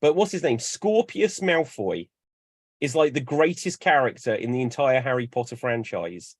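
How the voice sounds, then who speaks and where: A young man chatting on a panel, telling lively stories and acting out bits as he goes. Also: mid-range voice, moderate pace, no echo. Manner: animated storyteller, acts out bits. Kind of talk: casual chat.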